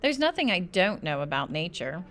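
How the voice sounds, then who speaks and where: Animated woman reading a children's book. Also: on the low side, moderate pace. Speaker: storybook reader. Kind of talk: expressive children's book narration.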